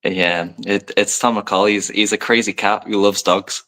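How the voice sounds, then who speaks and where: A young man from Liverpool with a soft English accent and a slight American tinge, a wrestler chatting loosely as a guest, full of fillers and self-deprecating asides. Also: lowish voice, fairly even intonation, a little slow. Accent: soft English, light Americanisms. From Liverpool, England. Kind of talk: casual podcast interview.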